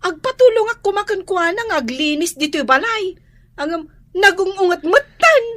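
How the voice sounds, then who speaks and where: An actress plays a hot-tempered Ilocano village woman for radio comedy. Her voice sits high and bright, swinging widely in pitch. She is whiny, exclamatory and quick to quarrel. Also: expressive, dry recording. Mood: defensive. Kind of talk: comedic radio drama acting.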